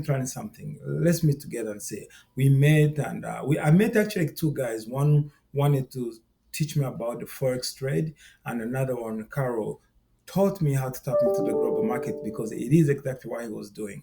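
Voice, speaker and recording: A man's voice: medium pitch, creaky, mellow. A middle-aged man from Uganda, talking earnestly and directly to viewers as a course instructor, often repeating himself. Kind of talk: direct-to-camera course talk.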